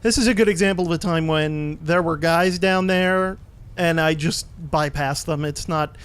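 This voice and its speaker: A man giving casual, explanatory commentary while playing a video game, a bit halting as he goes. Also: fairly high pitch, fairly bright tone, smooth. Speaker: let's play host and player.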